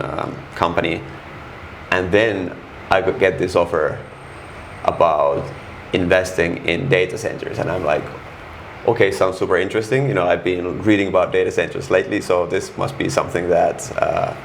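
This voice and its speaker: Low gravelly-voiced Finnish man. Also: fairly even intonation, slight background noise. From Finland. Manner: frank, halting, many restarts and fillers. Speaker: guest. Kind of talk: casual interview.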